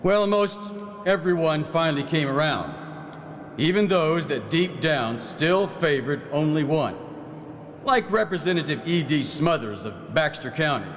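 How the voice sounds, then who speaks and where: Guy acting as a folksy 1900s statesman telling stories onstage, slightly high voice, big echoey room. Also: lively intonation, a little slow. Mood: wry, reminiscing. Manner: folksy, plain-spoken storytelling. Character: folksy, proud 1909 Arkansas farm-minded legislator reminiscing. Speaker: actor in historical re-enactment. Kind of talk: theatrical first-person historical monologue. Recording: echoey hall, clear background noise.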